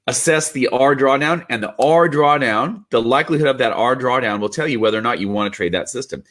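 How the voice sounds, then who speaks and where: A man getting over a cold, hosting a relaxed instructional talk on trading, explaining step by step with a few fillers and restarts. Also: mid-range voice. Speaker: trading educator and channel host. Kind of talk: casual instructional talk to camera.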